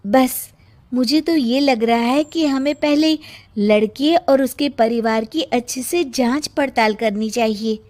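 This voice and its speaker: A shrewd, caring young daughter-in-law from a cartoon drama , played by a woman. Her voice is high and earnest, with a worried, measured delivery. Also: darkish tone. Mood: worried, determined.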